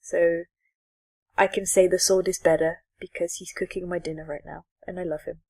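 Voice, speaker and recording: A woman's voice: lowish voice, level tone, normal speed, darkish tone. A woman co-hosting a podcast, chatting casually about geeky things in a rambling, giggly, enthusiastic way. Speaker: podcast co-host. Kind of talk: casual geek chat.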